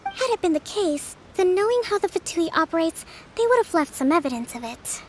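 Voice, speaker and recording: A woman voicing a composed young priestess leader in a video game, formal, gentle and firm. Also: very high-pitched, sing-song, slight background noise. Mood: thoughtful, calm. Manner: formal, measured. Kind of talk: video game character acting.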